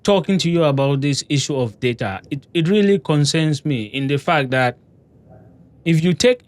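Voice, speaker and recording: A man's voice: on the high side, lively intonation, moderate pace. A man in a casual discussion, talking with hesitation and lots of restarts. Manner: hesitant, many fillers and restarts.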